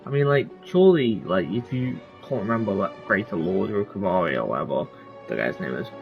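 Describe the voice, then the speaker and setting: A man's voice: on the low side, sing-song, a little fast, vocal fry, muffled recording. A man giving casual gameplay commentary as a streamer, talking in a rambling, informal way. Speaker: video game streamer. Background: music.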